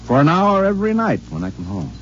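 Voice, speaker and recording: Wry middle-aged husband, wisecracking, incredulous; lively delivery, old radio sound. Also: male voice, a little high, fast talker, soft background music. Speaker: radio drama actor. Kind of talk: 1950s radio acting. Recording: dull 1950s radio recording, faint hiss.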